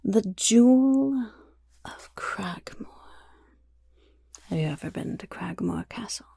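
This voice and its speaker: A woman's voice: mid-range voice, expressive, takes it slowly, dark tone, no echo, exaggerated voice. A woman playing a chatty, roguish goblin guild member in a whispered ASMR fantasy roleplay, with casual, conspiratorial delivery and wry asides. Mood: sly, intrigued. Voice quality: breathy, whispery.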